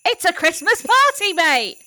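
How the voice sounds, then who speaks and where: A woman playing a chummy, excitable hospital staffer in an improvised comedy, with blokey exclamations. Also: very high-pitched, unhurried, no room sound, studio quality, heavily acted.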